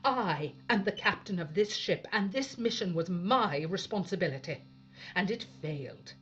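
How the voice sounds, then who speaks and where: A tough, commanding spaceship captain. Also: female voice, on the low side, expressive, moderate pace, fairly bright tone, muffled recording, faint music behind. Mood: stern anger. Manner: authoritative, blunt. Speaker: actor playing a ship's captain. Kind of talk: audio drama acting.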